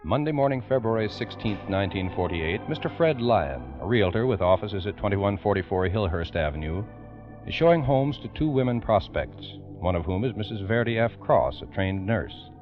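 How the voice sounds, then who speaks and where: A man narrating a true-crime radio drama in the 1940s style, grave, formal and deliberate. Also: lowish voice, very animated. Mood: grave, measured. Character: grave male narrator of a police case. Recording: muffled vintage radio recording, music behind.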